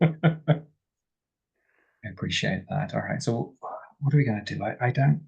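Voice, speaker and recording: Man playing a gravelly, dry-witted reserved man. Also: mid-range voice, unhurried, a little mellow, lo-fi sound, exaggerated voice. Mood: dry, tentative. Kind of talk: in-character tabletop roleplay.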